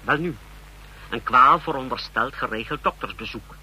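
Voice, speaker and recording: Brief: a keen subordinate officer, deferential yet scheming, mid pitch with a tense, sharp edge. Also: male voice, normal speed, slightly rough, low-quality recording. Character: eager male officer under the spymaster. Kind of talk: vintage radio drama acting.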